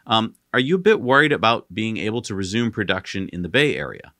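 A man's voice: on the low side, big pitch swings, a little fast, bright, forceful tone, dry recording, clean studio sound. A man co-hosting a conversational news recap, relaying questions and answers in casual paraphrase. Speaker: co-host.

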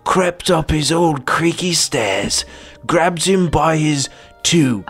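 A man's voice: on the high side, animated, slow pace, fairly bright tone, slightly rough, faint music behind, voice pushed up, heavily acted. A man playing a bumbling hard-boiled detective who narrates his own case in a rambling, mock-dramatic way, in a parody radio drama.

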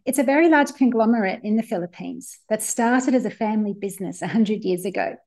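A woman's voice: medium pitch, fairly quick, a little mellow. A woman from New Zealand, a work futurist and innovation coach, answering interview questions in an upbeat, enthusiastic way.

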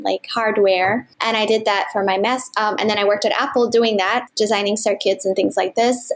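A woman from Ethiopia in her thirties, a guest researcher answering interview questions in a thoughtful, roundabout way, with pauses and a soft laugh. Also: mid-range voice.

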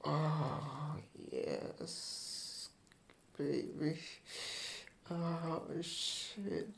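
A man playing an adult male lover in intimate ASMR roleplay, breathy and half-whispered. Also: a little high, drawn-out words, pitched above the performer's own voice, exaggerated voice. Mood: sensual. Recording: very roomy, echoing sound.

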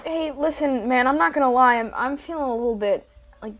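A woman's voice: sits high, fairly quick, a bit bright, highs cut off. A woman playing an anxious, foul-mouthed prospective student in a comedic audio drama, hesitant and exasperated. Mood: uneasy.

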